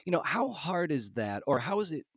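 A man interviewing casually as a podcast host, thinking aloud in a halting, conversational way. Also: fairly high pitch, sing-song, slightly rough, no echo, clean studio sound.

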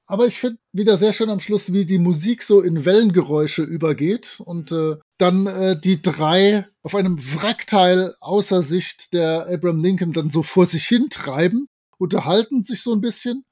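A man with a notably high voice recaps scenes in a casual podcast manner. The sound is close and dry, with hardly any room in it. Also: moderate pace, darkish tone, dry recording. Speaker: podcast co-host.

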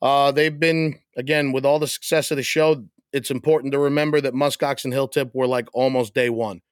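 An upbeat man hosting a podcast, talking loud and lively while losing his voice a little. The sound is close and dry, with no room around it. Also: mid-range voice, fairly quick, clear, resonant tone, no room sound. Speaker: podcast host. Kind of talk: casual chat.

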